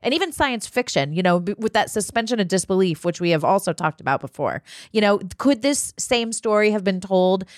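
Panel host: woman with a mid-range voice, relaxed conversational style, very little room echo. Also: medium pitch, brisk, hardly any pauses, studio quality. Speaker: discussion host. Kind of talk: casual panel discussion.

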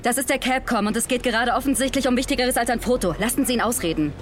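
A woman's voice: a little high, fast talker, close to an everyday voice. An actress playing a resolute, loyal woman pilot leading an astronaut crew in an audio drama, blunt and commanding. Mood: impatient, firm.

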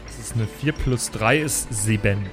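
A man's voice: lowish voice, unhurried, soft background music. A man talking casually as a player in a tabletop role-playing game.

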